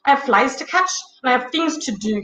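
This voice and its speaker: A woman doing a gentle, kindly frog. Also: high-pitched, expressive, brisk, reverberant, rough recording. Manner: soothing, kindly.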